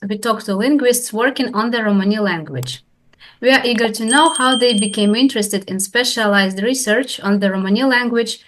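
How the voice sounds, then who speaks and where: A woman with a fairly high voice hosts an interview podcast. She opens in a polite, formal way and asks her questions with small hesitations. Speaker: podcast host. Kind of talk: interview hosting.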